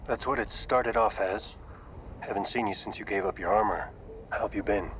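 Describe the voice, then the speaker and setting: An actor playing a stoic armored bounty hunter in a sci-fi western, speaking in a measured, terse, understated way. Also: male voice, on the low side, close to an everyday voice. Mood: stoic, earnest. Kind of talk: screen sci-fi western drama acting. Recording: clear noise behind the voice.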